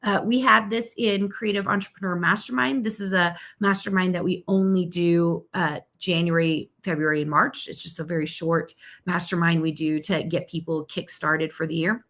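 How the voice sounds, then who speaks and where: A woman's voice: fairly low pitch, fairly even intonation, normal speed, fairly bright tone. Upbeat woman coaching on a live stream. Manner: upbeat, chatty, with frequent "okay?" and "right?" check-ins. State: losing her voice.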